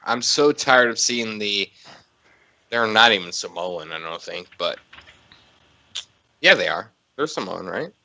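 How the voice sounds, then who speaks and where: A man's voice: lowish voice, lively intonation, unhurried, vocal fry, long pauses, pushed and bright. A man co-hosting a podcast, talking casually and emphatically about wrestling and giving his opinions. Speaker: podcast co-host.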